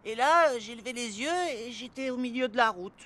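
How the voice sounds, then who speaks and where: A woman voicing an excitable, careless young boy in a French cartoon dub. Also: fairly high pitch, a little fast, close to an everyday voice. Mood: sheepish. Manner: exclamatory, hesitant when caught out. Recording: loud music behind the voice.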